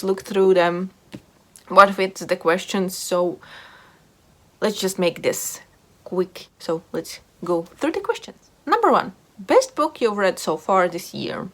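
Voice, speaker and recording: A woman's voice: medium pitch, animated, slow. A woman hosting a casual book review video, talking informally and repeating words for emphasis. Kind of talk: casual book chat to camera.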